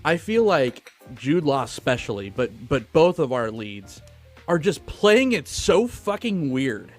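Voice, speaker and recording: A man's voice: on the high side, sing-song, measured pace, soft background music. A man co-hosting a podcast, chatting casually and swearing freely as he talks about films. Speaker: film podcast co-host. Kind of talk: casual film discussion, profane.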